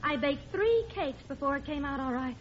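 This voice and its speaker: A no-nonsense, exasperated wife in an old radio comedy. She is brisk and skeptical, with lively swooping intonation. The sound is dull, with clear noise. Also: high voice. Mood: dry. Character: exasperated, no-nonsense wife of eighteen years, middle-aged. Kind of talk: old-time radio situation comedy acting. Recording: dull, noisy vintage radio recording.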